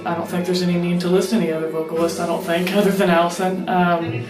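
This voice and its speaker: A woman's voice: on the low side, level tone, fast. A young woman who plays mandolin and sings, answering interview questions in an earnest, rambling way with many fillers. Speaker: bluegrass mandolin player and singer. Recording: roomy echo with music behind.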